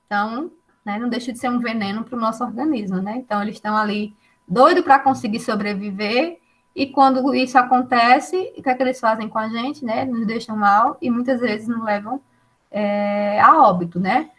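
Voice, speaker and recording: A woman teaches an online class with a fairly high voice. She explains step by step and keeps checking with her students. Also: normal speed, a bit bright. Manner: explains patiently with many checking tags like "né" and "tá".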